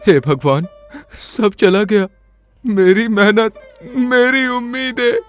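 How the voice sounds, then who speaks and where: A man's voice: high voice, slow, faint music behind, pitched above the performer's own voice. A man voicing a struggling, poor village potter and father in a cartoon story who speaks heavily, full of despair. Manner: heavy, despairing.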